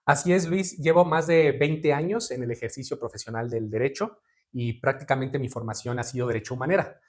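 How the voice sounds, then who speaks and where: A man in his forties from Veracruz, Mexico, with a Mexican Spanish accent, giving measured, formal interview answers with frequent fillers and tag questions. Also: mid-range voice. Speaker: judicial candidate guest.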